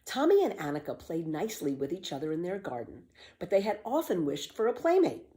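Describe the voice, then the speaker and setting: A woman reading a children's book aloud as a storyteller, with expressive, emphatic scripted narration. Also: on the low side, a little fast.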